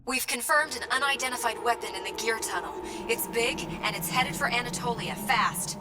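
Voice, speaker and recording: A woman's voice: fairly high pitch, moderate pace, lightly acted. A woman playing an earnest, composed young military mission operator in a video game, speaking precisely. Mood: urgent, pleading. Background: loud game music and vehicle sounds.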